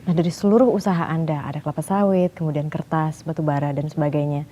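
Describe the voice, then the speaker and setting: A woman interviewing a guest, asking brisk questions and humming along as she listens. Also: low voice, fairly even intonation, warm tone, a little hiss or hum.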